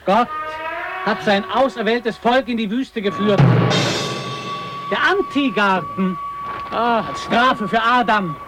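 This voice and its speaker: A man's voice: sits high, slow pace, long pauses, loud music behind the voice. A man playing a lone, brooding polar adventurer in a radio drama, intense and increasingly anxious. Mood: brooding, weary. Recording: very noisy recording.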